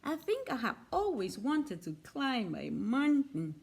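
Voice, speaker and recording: A woman's voice: sits high, wildly swooping pitch, measured pace, darkish tone, slight background noise, exaggerated voice. A woman voicing a small, childlike toy bear, eager and full of wonder, in a children's storybook reading. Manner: wide-eyed, wishful exclamations. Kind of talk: children's storybook read-aloud.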